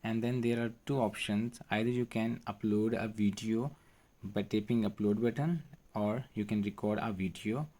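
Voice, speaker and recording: Man, lowish pitch, tutorial host, step-by-step instructional narration. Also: steady tone, moderate pace, dark tone.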